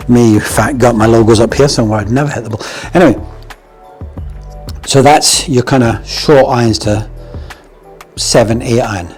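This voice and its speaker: Chatty Scottish golf coach, blunt and jokey. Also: male voice, mid-range voice, a little mellow. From Scotland. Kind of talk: casual instructional talk.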